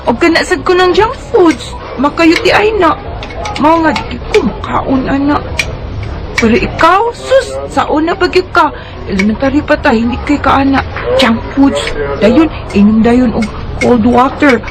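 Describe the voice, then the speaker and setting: A young schoolgirl with a high, exclamatory voice and a Bisaya Filipino accent. She teases and scolds like a cautious best friend in a radio drama, over clearly audible music. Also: lively intonation, a little slow, rough recording. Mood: scolding, teasing. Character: teasing, cautious schoolgirl, Maria's close friend. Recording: music behind the dialogue.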